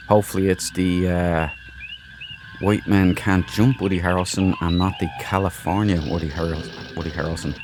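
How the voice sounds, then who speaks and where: A low-voiced man hosts a gaming video with casual commentary. He rambles informally through his explanations, and game music plays clearly behind him. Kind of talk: casual let's play commentary.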